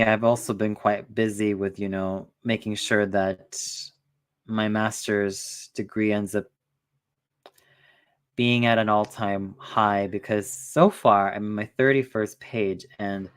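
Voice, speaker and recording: A man's voice: lowish voice, fairly even intonation, slow pace, a bit bright, smooth. A young man chats casually as the host of a stream. He rambles and often circles back with fillers before landing on his point. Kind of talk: casual chat.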